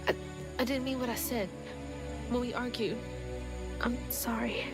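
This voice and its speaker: A woman's voice: a little high, fairly even intonation, a little slow, lightly acted. A young woman playing a brave, earnest, determined daughter and hero in a video game cutscene. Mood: apologetic. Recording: loud music under the voice.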